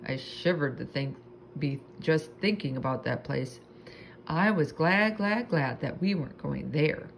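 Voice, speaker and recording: A woman reading a children's book aloud in an animated, expressive storytelling manner. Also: deep voice, unhurried, vocal fry, slight background noise.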